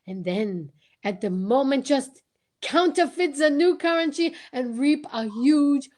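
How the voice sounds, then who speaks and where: A woman playing a courteous, self-assured male detective, theatrical and deliberate, in a radio play. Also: sits high, animated, a little mellow. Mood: dramatic, confident. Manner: theatrical, deliberate, tag-questioning. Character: courteous, self-assured male French-speaking detective explaining his case. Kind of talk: amateur old-time radio play reading.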